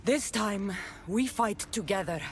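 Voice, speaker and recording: A woman's voice: medium pitch, a little slow, slight background noise, close to an everyday voice. An actress playing a loyal, wry, battle-hardened warrior woman in a video game, speaking firmly and encouragingly. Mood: urgent, loyal. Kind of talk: dramatic video game acting.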